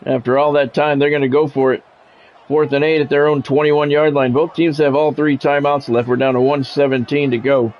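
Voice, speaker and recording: A man's voice: on the high side, little pitch movement, a little fast. Man calling sports play-by-play, slightly muffled. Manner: announcer-style calls in short clipped phrases. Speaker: live streamer and play-by-play commentator. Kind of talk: sports play-by-play commentary mixed with casual chat to viewers.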